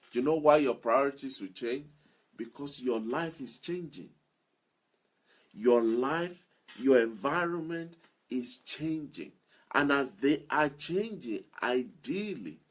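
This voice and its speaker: Male self-improvement speaker: slow, deliberate, a bit husky, earnest and repetitive. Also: mid-range voice. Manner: earnest, repeats key phrases for emphasis. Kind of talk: motivational talk to listeners.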